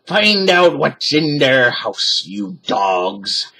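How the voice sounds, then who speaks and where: Man as a gruff gold-greedy pirate captain; slow growling roar, husky. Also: mid-range voice, big pitch swings, sharp tone, voice pushed up, heavily acted.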